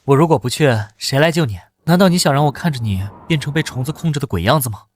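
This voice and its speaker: A man voicing a cocky, fearless young hero, swaggering and confident, in an animated fantasy drama. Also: mid-range voice, fast, close to an everyday voice. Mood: reassuring, cocky. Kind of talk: animated xianxia drama dubbing.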